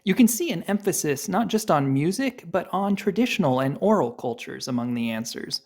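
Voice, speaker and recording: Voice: mid-range voice, a little mellow. A podcast host giving a scripted outro, speaking directly to the listeners.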